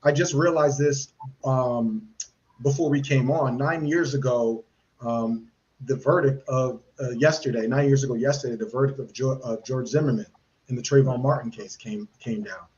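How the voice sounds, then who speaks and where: A man's voice: medium pitch, fairly even intonation, moderate pace, darkish tone, muffled recording. A man hosting a live podcast interview, asking earnest, rambling questions with many fillers and restarts. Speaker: podcast host.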